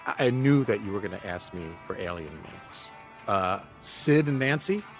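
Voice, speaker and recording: An actor playing a laid-back, sardonic male buddy with a dry, halting, teasing delivery in audio drama dialogue. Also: lowish voice, animated, gravelly, soft background music. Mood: joking.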